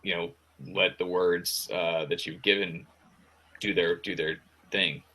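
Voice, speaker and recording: A man speaking as a guest author in casual talk about writing, thinking aloud in halting, broken phrases. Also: low-pitched, level tone, sharp tone. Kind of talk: casual discussion of writing craft.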